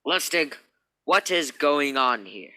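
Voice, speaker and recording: A man's voice: on the high side, animated, slow pace, pushed and bright, dry recording, full character voice. A man acting a stern, logical spaceship captain in a radio play, commanding and insistent. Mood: stern, alarmed. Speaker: actor in a student radio play.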